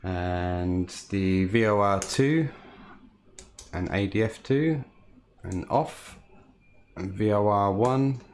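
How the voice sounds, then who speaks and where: A man from the United Kingdom, a home cockpit builder, calmly walking through his hobby build with frequent "uh" fillers. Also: on the low side, slight background noise. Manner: calm, unhurried, frequent "uh" fillers. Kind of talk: casual hobby walkthrough.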